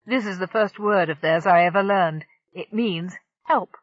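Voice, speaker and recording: A woman's voice: fairly low pitch, unhurried, dry recording. A woman voicing an earnest male diplomat who explains things precisely. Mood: teaching. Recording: dull lo-fi dry recording.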